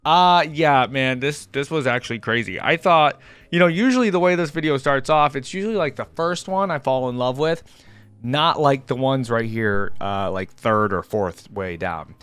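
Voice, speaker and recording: A man's voice: medium pitch, moderate pace, fairly bright tone, clear, resonant tone, dry recording. A man giving casual, informal reaction commentary, rambling along as he talks straight to his viewers. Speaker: reaction video host.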